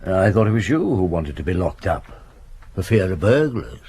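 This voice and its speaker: An actor in a radio drama playing a shrewd police chief inspector, courteous and probing, with polite hesitations. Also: male voice, low voice, big pitch swings, moderate pace, darkish tone, husky, exaggerated voice.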